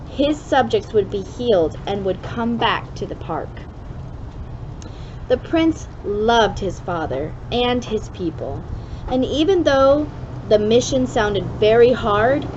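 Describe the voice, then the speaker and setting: A woman reading a children's storybook aloud in an expressive way, with deliberate dramatic emphasis. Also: fairly high pitch, lo-fi sound, background noise. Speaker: storybook reader for a kids club.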